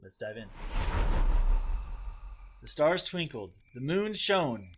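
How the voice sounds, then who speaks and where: A man giving an expressive, deliberate storybook read-aloud for children in the manner of a teacher. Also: a little high, background noise.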